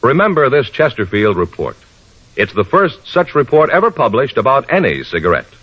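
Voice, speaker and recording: A male announcer reading an old radio advertisement with formal emphasis. His lowish voice is slightly husky and swings widely in pitch, on a dull vintage recording. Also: big pitch swings, normal speed. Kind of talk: 1950s radio advertisement read.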